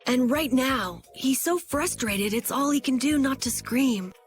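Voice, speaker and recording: A woman voicing an eager, loyal young boy in a video game, speaking with high, emotional earnestness. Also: unhurried, soft background music. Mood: earnest.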